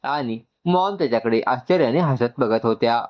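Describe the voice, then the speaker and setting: A man's voice: medium pitch, expressive, moderate pace, dull audio. A man narrates a scripted story and acts out its dialogue.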